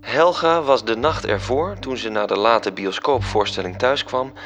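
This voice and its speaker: A man's voice: mid-range voice, moderate pace, fairly bright tone, soft background music. A man narrating a scripted radio drama as its storyteller.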